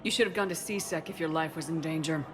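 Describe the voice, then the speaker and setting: Very low-voiced female commander woman acting. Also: fast talker, lightly acted. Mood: stern. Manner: direct, controlled. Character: tough, composed female military commander, firm and guarded. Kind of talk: video game voice acting. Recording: music behind the voice.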